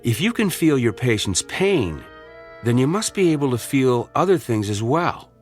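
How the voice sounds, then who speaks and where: Brief: skeptical probing doctor, played by a man, expressive, dry sarcasm. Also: mid-range voice, normal speed, faint music behind, close to an everyday voice. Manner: blunt, questioning, sardonic. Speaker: fellow doctor. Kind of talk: old-time radio drama acting.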